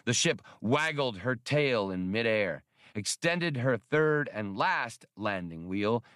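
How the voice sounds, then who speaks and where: A man narrating an audiobook in an expressive, animated reading style. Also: medium pitch, takes it slowly, dry recording, clean studio sound.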